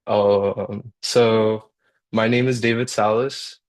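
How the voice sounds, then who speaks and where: A young man from Colombia, a guitarist and songwriter, talking casually in a workshop over a video call and pausing often with fillers and restarts. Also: fairly low pitch, level tone. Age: young adult. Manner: halting, many fillers and restarts.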